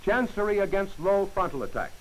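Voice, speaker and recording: A man giving scripted instructional narration for a training film, in crisp, clipped commands. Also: high voice, expressive, brisk, low-quality recording. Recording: hissy old film soundtrack.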